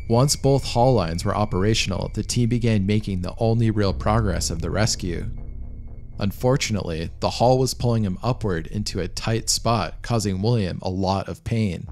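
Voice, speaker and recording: A man reading scripted documentary narration of a true disaster story in a measured, matter-of-fact way. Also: lowish voice, a little mellow. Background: background music.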